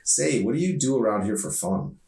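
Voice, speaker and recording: A man's voice: fairly low pitch, sing-song, a little fast, vocal fry, mellow. Chatty sardonic teenage girl acted by a man, echoey. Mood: curious, teasing. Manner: slangy, emphatic, complaining. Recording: roomy echo, distant microphone.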